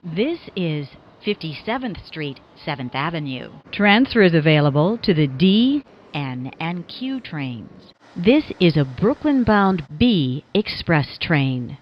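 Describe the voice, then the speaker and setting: A woman's voice: deep voice, sing-song, slow, a little hiss or hum. Woman, train announcer, scripted delivery, formulaic spliced phrasing, very varied intonation, slightly muffled lo-fi recording.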